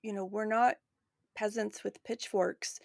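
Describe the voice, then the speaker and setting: Woman, creaky voice, dry studio-like sound, podcast host, casual conversational style. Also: medium pitch, steady tone, a little slow, no room sound.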